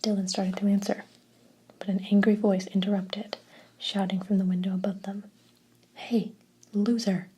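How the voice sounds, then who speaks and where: Woman reading a story in a breathy whisper. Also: medium pitch, completely flat delivery, moderate pace, mellow, low-quality recording. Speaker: narrator.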